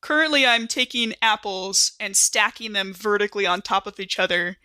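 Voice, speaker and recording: A woman with a bright, punchy voice plays along in a tabletop game. She is slangy, jokey and animated, improvising casually. The recording is dry and close. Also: medium pitch, normal speed, clear, resonant tone, dry recording, poor audio. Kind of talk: casual improvised role-play banter.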